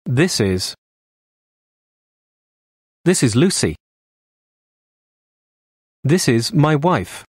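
A man reads everyday English phrases aloud for learners, one by one. His pitch moves a lot within each phrase, and he keeps an unhurried pace. The recording is dry and close. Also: big pitch swings, no room sound. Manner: slow, clear, pauses between phrases. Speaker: phrase reader. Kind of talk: slow scripted phrase reading.

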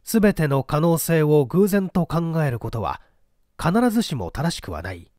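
Expressive male narrator, close dry sound. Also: a little high, moderate pace, no echo. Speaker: audiobook narrator. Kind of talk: scripted narration of a mystery story.

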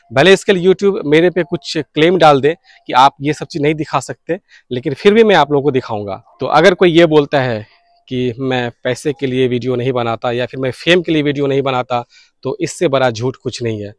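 A man's voice: fairly high pitch, no room sound, clean studio sound. A man in his thirties talking earnestly and casually to his viewers, explaining things and mixing in English words. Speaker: radio hobbyist video blogger. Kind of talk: casual explanatory vlog to camera. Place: open balcony or rooftop outdoors beside fields. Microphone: clip-on lapel mic.

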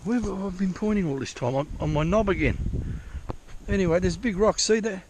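A man with a slightly high voice talks loosely and off the cuff, correcting himself as he wanders. He swears casually, and his voice is picked up by a camera on his head out in the bush. A faint hiss of outdoor noise sits behind him. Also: normal speed, darkish tone. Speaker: prospecting vlogger. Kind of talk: rambling outdoor walkthrough. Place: bush forest outdoors, old diggings. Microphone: head-mounted action camera.

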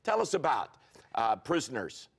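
A man's voice: mid-range voice, sing-song, normal speed, creaky, slightly rough. Older man hosting a faith talk show. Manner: earnest, emphatic, preacherly asides to viewers. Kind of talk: faith TV interview. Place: television studio set, indoors.

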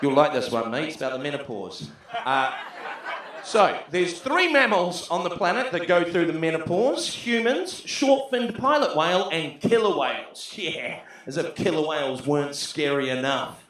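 A man in his thirties with a New Zealand accent doing a brash, sweary stand-up set into a handheld mic, shouting his punchlines. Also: fairly high pitch, very animated, normal speed, a crowd in the background. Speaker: stand-up comedian. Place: comedy club stage, brick wall. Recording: echoey club room.

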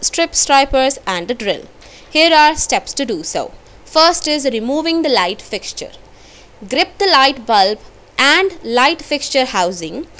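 Woman host, high voice, reads instructions over slides, drops off at line ends. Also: a bit bright. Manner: reads steps aloud with small slips, falls off at line ends. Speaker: channel host.

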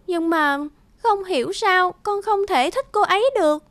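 A woman's voice: very high voice, a little slow, fairly bright tone, dry recording, studio quality. A woman voicing a sullen, hurt young girl in a cartoon. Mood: wistful. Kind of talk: cartoon voice acting.

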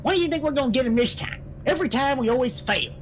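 A man playing an excitable, grumbling, bumbling Southern county sheriff in comic game roleplay, full of folksy griping. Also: very high voice, fast, slight background noise. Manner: folksy griping, mild cussing.

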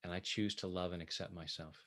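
A man with a low-pitched voice leads guided affirmations as a coach. He speaks deliberately, setting out one short phrase at a time so that each can be repeated. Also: fairly even intonation, fairly bright tone. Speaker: tapping coach leading affirmations.

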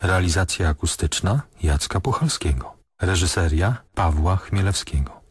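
Male announcer for radio credits; very low, husky, dry studio sound. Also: moderate pace, no room sound. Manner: even, formal announcing. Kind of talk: reading radio drama credits.

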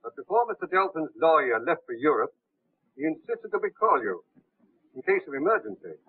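An actor playing a courteous, deferential servant in a 1930s film. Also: male voice, a little high, very animated, a little fast, slightly rough, no echo. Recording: tinny distant 1930s film soundtrack.

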